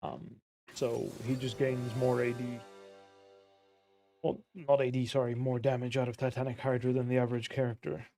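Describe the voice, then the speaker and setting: Man chatting on stream, gravelly. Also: medium pitch, moderate pace, soft background music. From Belgium. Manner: relaxed and friendly, with easy fillers. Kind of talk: casual stream chat answering viewers.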